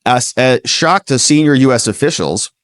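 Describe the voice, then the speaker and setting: Animated American man commenting on news. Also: mid-range voice, normal speed, dry recording, clean studio sound. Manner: acts out imagined dialogue, mocking and incredulous. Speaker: host commentator of a livestream news show. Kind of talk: reading news reports with casual, sarcastic running commentary.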